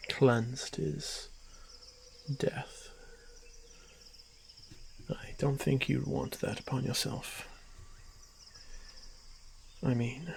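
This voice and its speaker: A stoic orc warrior, acted by a man in a fantasy ASMR roleplay, honor-bound and gently protective. His voice is very creaky and breathy, delivered in formal, halting phrases with frequent pauses. Clear night noise of crickets and insects sits behind him. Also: mid-range voice. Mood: solemn, firm. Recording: noisy track with night insect ambience. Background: crickets and insect ambience.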